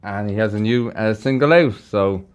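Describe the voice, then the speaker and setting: A man's voice: fairly low pitch, moderate pace, clean tone. A man with an Irish accent hosting a casual live interview show in a radio studio. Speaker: radio host.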